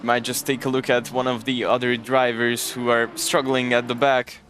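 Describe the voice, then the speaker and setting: A man's voice: medium pitch, flat delivery, normal speed, fairly bright tone, slight background noise. A man from Hungary with a Hungarian accent, casually commentating a sim racing stream and chatting with viewers. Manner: chatty, jokes and thanks chat viewers. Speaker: race commentator.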